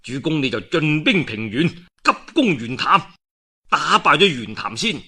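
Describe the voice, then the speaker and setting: A scheming advisor in a historical tale, put on by a male storyteller. He urges his lord with deference and care. The tone is sharp and bright, with expressive rises and falls. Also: fairly high pitch, measured pace, breathy edge, full character voice. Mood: urging.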